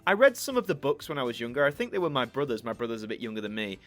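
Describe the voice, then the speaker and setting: A man's voice: mid-range voice, lively intonation, racing, fairly bright tone. A young man with a mixed northern English, mostly Yorkshire, accent, chatting casually as he answers viewer questions and thinks aloud. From Yorkshire, England. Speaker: YouTube channel host. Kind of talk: casual Q&A chat.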